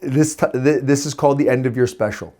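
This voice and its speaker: A man's voice: medium pitch, brisk, mellow. A man co-hosting a casual business podcast, playful and teasing as he leads the segments. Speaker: podcast co-host.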